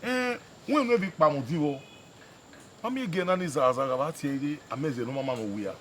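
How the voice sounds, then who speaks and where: A hot-tempered Nigerian husband in a screen drama. His voice is high for a man and swings widely as he hammers his points by repeating them. Faint noise sits behind the location recording. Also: animated, brisk, fairly bright tone. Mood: disgusted, venting. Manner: emphatic, repeats himself, mixes English with local language. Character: hot-tempered husband venting to his friend about women.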